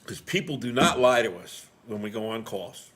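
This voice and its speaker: A man's voice: medium pitch, expressive, normal speed, fairly bright tone. A middle-aged man, a firefighter, chatting casually and telling stories in a blunt, salty way. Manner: blunt, salty everyday talk. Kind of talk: casual conversational storytelling.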